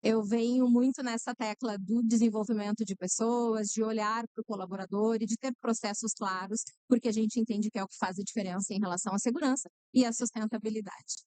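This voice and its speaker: A woman's voice: a little high, fairly even intonation, a little fast, hardly any pauses, a little mellow, smooth, no room sound. A middle-aged woman who is a nurse and co-founder, answering interview questions in an earnest, explanatory way, often adding "né". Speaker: guest, nurse and company co-founder.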